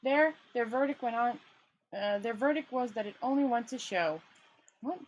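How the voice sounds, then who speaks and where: A woman with a high-pitched, husky voice reads a story aloud. Her delivery is informal and homemade, with stumbles, self-corrections and chatty asides. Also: normal speed, rough recording. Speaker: storyteller reading a novel aloud. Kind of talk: amateur read-aloud with casual asides.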